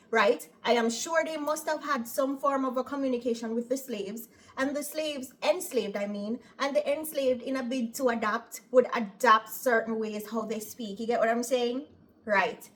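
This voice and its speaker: A woman from Jamaica with a Jamaican accent, a nurse chatting casually to her viewers and often asking "right?". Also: fairly high pitch. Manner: chatty, opinionated, tags lines with "right?". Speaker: operating room nurse. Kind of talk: casual vlog storytelling.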